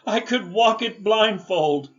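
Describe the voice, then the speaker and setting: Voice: medium pitch, animated, drawn-out words, muffled recording, faint music behind. An actor playing a frightened, regretful old man in a radio drama, stammering and exclaiming with emotion. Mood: amazed, nostalgic. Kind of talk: family radio drama acting.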